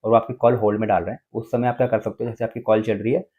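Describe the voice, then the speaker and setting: A man's voice: fairly low pitch, little pitch movement, very fast, phone-quality sound. An Indian-accented man presenting a casual step-by-step phone tutorial, explaining and often checking in with short tag words.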